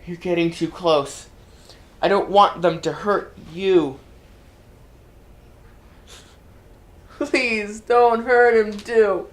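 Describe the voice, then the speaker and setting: A woman performing a dramatic reading as a tormented, despairing figure possessed by demons, swinging between hushed and loud pleading. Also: fairly high pitch. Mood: fearful, pleading. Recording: roomy echo, music underneath.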